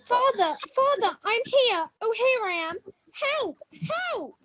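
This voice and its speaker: A woman's voice: very high-pitched, animated, slow pace, a bit bright, dull audio, full character voice. A woman playing a helpless, indignant young damsel in distress, wailing in a radio melodrama. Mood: desperate. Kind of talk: old-fashioned radio melodrama acting.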